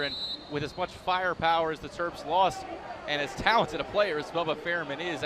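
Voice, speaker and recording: A young American man calling a live lacrosse game as play-by-play announcer, with an energetic announcer's delivery. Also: a little high. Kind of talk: live lacrosse sports commentary. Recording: live game, loud crowd noise behind. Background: crowd and outdoor stadium ambience.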